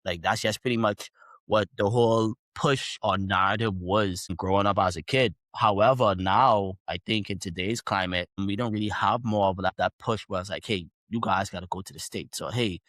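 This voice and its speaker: Young bahamian guy as podcast guest, earnest, kinda low voice, dry close mic. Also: normal speed, a bit bright, dry recording. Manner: earnest, long rambling sentences with casual fillers. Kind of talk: casual interview storytelling.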